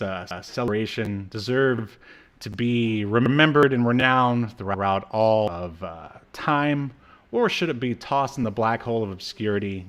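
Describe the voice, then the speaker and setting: A man in his thirties co-hosting a podcast in casual chat, with a jokey, halting delivery. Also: fairly low pitch. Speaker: podcast co-host. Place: small room at home, on a video call.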